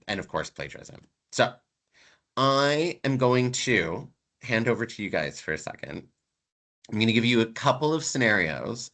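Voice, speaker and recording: Casting note: male lecturer raised in Canada, low pitch, bright edge, chatty and emphatic on a video call. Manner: conversational, emphatic, repeats words for stress. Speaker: university lecturer. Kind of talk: online lecture to students.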